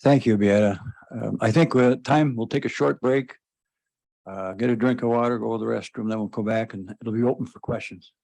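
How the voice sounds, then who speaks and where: Loud halting man chairing a meeting. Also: medium pitch, fairly even intonation, vocal fry. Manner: halting, frequent "uh" fillers. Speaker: board chairman.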